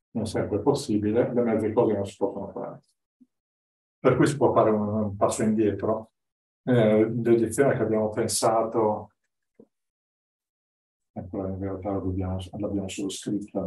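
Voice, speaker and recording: An older man speaks as a lecturer, explaining things in hesitant, informal phrases. His voice is fairly low and very soft-edged. The microphone sits well away from him in the room. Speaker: guest lecturer. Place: indoor classroom with whiteboard. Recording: roomy, distant microphone.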